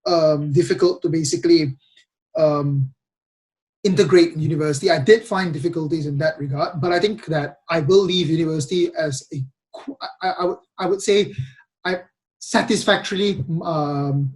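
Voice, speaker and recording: A man's voice: fairly high pitch, moderate pace, darkish tone. Hesitant young man hosting a podcast. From Malaysia. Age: young adult. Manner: hesitant, many restarts and ums. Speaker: podcast host. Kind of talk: casual podcast chat.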